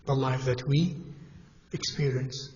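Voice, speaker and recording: A man teaching a congregation in a mosque, speaking in a measured, halting way with pauses and self-corrections, and putting questions to his listeners. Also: medium pitch, steady tone, darkish tone, dull audio. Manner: asks the audience questions as he teaches. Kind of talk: Islamic lecture to a congregation. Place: mosque hall.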